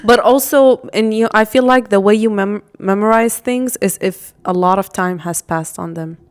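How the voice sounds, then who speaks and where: A woman's voice: mid-range voice, moderate pace. A young adult woman co-hosting a podcast in casual chat, chatty and laughing often. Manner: chatty, laughs often, animated when retelling. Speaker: podcast co-host.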